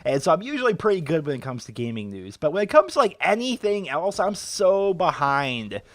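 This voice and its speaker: A man in his twenties from western Pennsylvania, sick with a raspy voice, chatting casually on a gaming vlog with lots of filler words. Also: on the high side, sing-song, brisk, dry recording. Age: 20s. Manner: rambling, heavy filler use. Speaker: gaming YouTuber.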